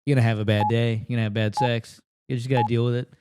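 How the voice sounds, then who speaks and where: A man's voice: medium pitch, little pitch movement, very fast, very noisy. A man from the United States doing an improvised, crude, self-deprecating comedy rant into a handheld mic at a table in a house. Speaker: comedian contestant. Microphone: handheld microphone.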